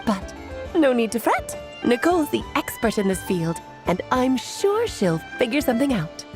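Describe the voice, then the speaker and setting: A woman's voice: a little high, big pitch swings, moderate pace, music in the background. An actress playing a playful, self-assured, motherly great mage in a video game, breezy and theatrical, given to lecturing. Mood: thoughtful, instructive. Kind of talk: scripted video game character dialogue.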